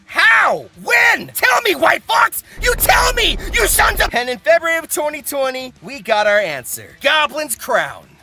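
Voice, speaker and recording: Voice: high voice, sing-song, measured pace, creaky, hardly any pauses, raised voice, heavy background noise. A host giving theatrical, comedic scripted narration about anime, with exaggerated mock outrage and playful asides. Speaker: host of anime video essay.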